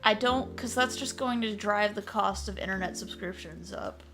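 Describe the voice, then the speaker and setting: A woman's voice: mid-range voice, moderate pace, music in the background. A woman chatting casually on a livestream in a blunt, exasperated way with some swearing. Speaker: video creator and streamer. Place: at a desk, in a VR chat.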